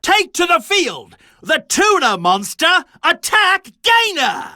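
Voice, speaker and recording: A man's voice: very high voice, sing-song, takes it slowly, pushed and bright, dry recording, studio quality, exaggerated voice. A man playing a proud, domineering champion duelist in an anime video game, declaiming battle callouts in an imperious, theatrical way. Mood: bold, commanding. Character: proud, domineering male champion duelist summoning dragons and fiends.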